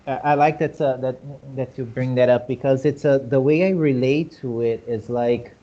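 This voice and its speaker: A man's voice: fairly even intonation, normal speed, warm tone. A man from Brazil with a Brazilian Portuguese accent, whose first language is Portuguese, explaining points animatedly in a casual discussion and often asking rhetorical questions. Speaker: host. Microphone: video call. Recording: muffled low-fidelity video call audio.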